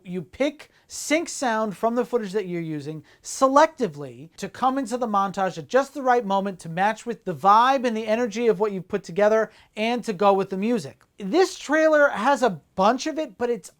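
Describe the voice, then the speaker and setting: A woman's voice: medium pitch, lively intonation, normal speed, few pauses. A woman co-hosting a casual film commentary show, talking in an opinionated, playfully emphatic way. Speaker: co-host of a film trailer show; film editor.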